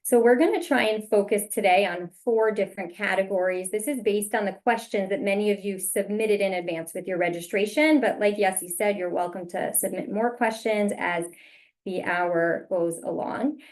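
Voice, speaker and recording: A woman's voice: mid-range voice, normal speed. A woman hosting a virtual panel as moderator, introducing topics and passing questions along over a video call.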